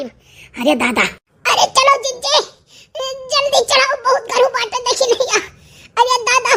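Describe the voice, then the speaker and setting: A performer voicing a fretful, panicky cartoon village woman with a squeaky high voice and an Awadhi accent, wailing and pleading in comic exclamations. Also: a bit bright. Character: panicky squeaky village women fleeing a tiger, fretful and squabbling. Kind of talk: animated comedy dialogue in cartoon skit.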